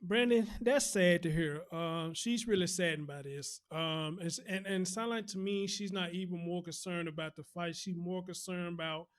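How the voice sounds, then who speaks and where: A man with a slightly high-pitched voice hosts a casual call-in show. He comments in a sympathetic manner and murmurs acknowledgments to the person he is talking with. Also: normal speed, few pauses. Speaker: talk show host.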